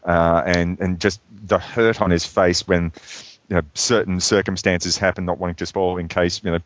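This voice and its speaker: A man's voice: deep voice, level tone, normal speed, no echo, highs cut off. A man chatting casually about films as a podcast co-host, rambling with many fillers and restarts. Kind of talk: casual film chat.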